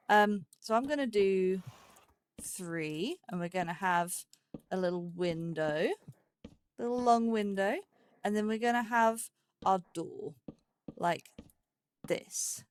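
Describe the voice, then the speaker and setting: A woman giving casual gameplay commentary as host, thinking aloud in short, broken phrases and playfully talking to herself. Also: mid-range voice. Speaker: let's play host.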